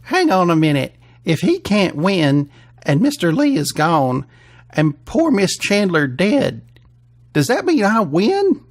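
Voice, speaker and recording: A man voicing an earnest, excitable country contestant in an audio story, with a thick drawl and plain-spoken manner. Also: high-pitched, takes it slowly, pitched above the performer's own voice, full character voice. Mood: hopeful, excited.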